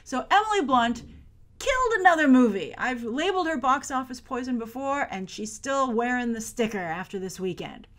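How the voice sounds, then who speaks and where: An American woman in her thirties with a fairly high voice presents movie business commentary. She talks chattily to the camera as a show host, leaning hard on key words and stretching some of them out for emphasis. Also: lively intonation, normal speed, a bit bright.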